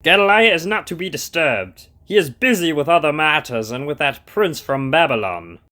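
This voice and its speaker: A man acts a scheming warrior prince in a biblical radio play. His voice sits high and moves around a lot, courteous and deferential on the surface, with treachery as his true nature. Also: expressive, normal speed, over music, natural voice. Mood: guarded, polite. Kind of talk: Bible audio drama acting.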